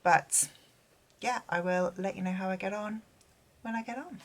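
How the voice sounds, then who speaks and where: A woman's voice: medium pitch, moderate pace. A woman vlogging about books in a rambling, casual way, full of fillers and restarts. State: losing her voice, tired and unwell. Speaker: book vlogger. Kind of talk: casual chat.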